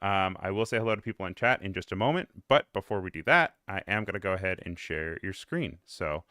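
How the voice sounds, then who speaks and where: A man's voice: sits low, lively intonation, a little fast, pushed and bright, no echo, studio quality. A man hosting a live stream, chatting in an upbeat, casual way and running through the schedule.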